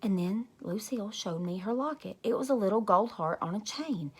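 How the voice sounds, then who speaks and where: A woman's voice: mid-range voice, animated, moderate pace, breathy edge. A woman storyteller reading a children's book expressively to young listeners. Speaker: read-aloud teacher, storyteller.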